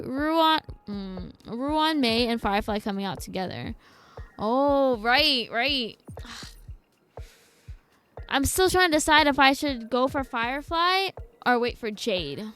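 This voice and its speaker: A woman's voice: fairly high pitch, a bit bright, clear, resonant tone. A woman doing casual, slangy game stream commentary, reacting and thinking aloud.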